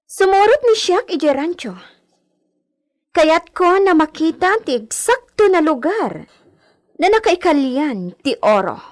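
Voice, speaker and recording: Very high-pitched haughty young Ilocano señorita. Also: female voice, big pitch swings, measured pace, heavily acted. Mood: proud, eager. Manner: commanding, haughty, Spanish words mixed in. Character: imperious young heiress, haughty señorita of the hacienda. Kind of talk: Ilocano radio drama acting.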